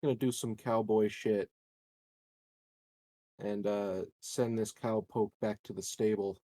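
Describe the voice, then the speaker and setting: A man's voice: on the low side, fairly even intonation, takes it slowly, dark tone, dry recording. A man chatting casually and jokingly as a player in a tabletop roleplaying game.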